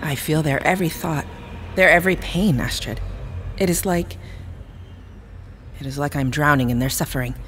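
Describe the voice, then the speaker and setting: A woman's voice: low-pitched, moderate pace, pauses often, fairly bright tone, slight background noise. An actress playing a grave, urgent psychic in an audio drama, a woman with formal, commanding phrasing. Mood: pained urgency.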